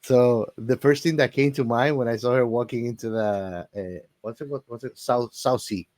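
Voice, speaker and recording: A man talks casually in a mid-pitched voice, recorded dry and close. He sounds like a podcast co-host in an informal film chat, blunt and slangy in how he puts things. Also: normal speed, a little mellow, no room sound. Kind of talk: casual movie discussion.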